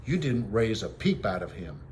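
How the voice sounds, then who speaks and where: A man voicing a mean, cackling highwayman henchman from a storybook, sneering in rustic outlaw slang on a noisy home recording. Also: big pitch swings, normal speed, darkish tone. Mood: goading.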